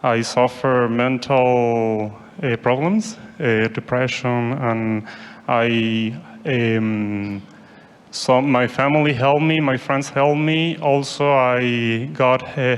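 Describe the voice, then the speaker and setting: A young man from Chile whose Spanish accent colors his English. He speaks very slowly in a smooth, clear voice, and the words ring out with a long reverb from a large hall. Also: mid-range voice. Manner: careful, hesitant, many "uh". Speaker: audience member asking a question.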